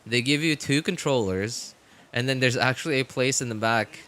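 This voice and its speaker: A man's voice: medium pitch, normal speed, pushed and bright. A man chatting casually as a game streamer, rambling and thinking aloud to his viewers. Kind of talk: casual rambling livestream chat.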